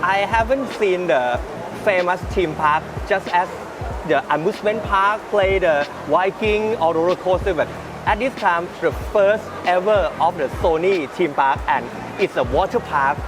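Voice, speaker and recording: A man's voice: sits high, a little slow. A man hosting a casual on-location interview, eager and repetitive in his phrasing. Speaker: interviewer, show host. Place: outdoor water park. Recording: clear music behind, outdoors.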